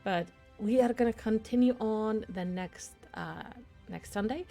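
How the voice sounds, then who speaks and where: A woman from Iceland with an Icelandic accent, chatting casually and warmly on her gaming stream. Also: medium pitch, a little slow, creaky, faint music behind. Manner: chatty and friendly, with restarts.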